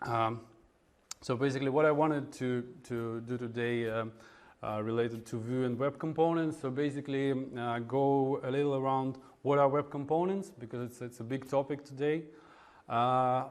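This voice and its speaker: A man from Russia with a Russian accent giving an informal technical talk at a meetup, in a halting, hesitant way with frequent pauses. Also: mid-range voice, steady tone. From Russia (Moscow). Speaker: conference speaker, web developer. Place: indoor meetup room in front of an audience.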